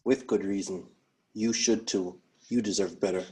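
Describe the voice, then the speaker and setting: A man playing a bitter, guilt-ridden widowed police officer with a husky voice, in a dramatic script read-through. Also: fairly low pitch, steady tone, moderate pace, a little mellow.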